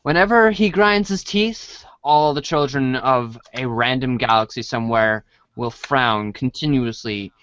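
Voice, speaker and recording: Slow-reading man, halting storybook narrator. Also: mid-range voice, fairly bright tone, clean tone, no echo, lo-fi sound. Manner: halting, stumbles over long words. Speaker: reader in a comedic reader's theater show. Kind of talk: dramatic storybook read-along narration.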